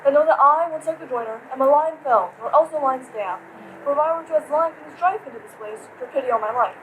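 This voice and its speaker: A woman voicing a male stage lion who warns the ladies not to fear him, declaiming in a rushed, booming way. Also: slight background noise, lightly acted, low-quality recording. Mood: mock-fierce. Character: blustering male lion, a gentle actor in costume. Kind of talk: amateur Shakespeare stage acting.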